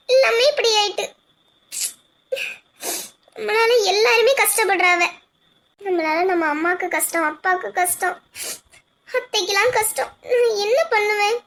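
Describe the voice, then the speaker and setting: Woman playing sobbing young wife, very high voice, bright tone, faint hiss behind, self-blaming lament. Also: a little slow, gravelly, long pauses, heavily acted. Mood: tearful. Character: tearful young wife, sure she is unlucky. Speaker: young wife in a family skit. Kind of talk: amateur domestic drama acting.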